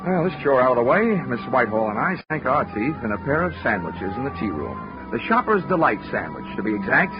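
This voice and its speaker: A man's voice: mid-range voice, sing-song, a little fast. An actor playing a dry, wisecracking male investigator narrating his case in hardboiled 1940s radio style. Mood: wry. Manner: dry, wry, assured. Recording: muffled 1940s radio broadcast recording. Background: music.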